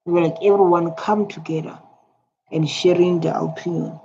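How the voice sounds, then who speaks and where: A man's voice: sits high, steady tone, moderate pace, vocal fry, darkish tone. A South African man with a Tswana accent, whose first language is Setswana, giving casual, repetitive reaction commentary. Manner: repetitive, rambling, emphatic. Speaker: online commentator. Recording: dull lo-fi, faint noise.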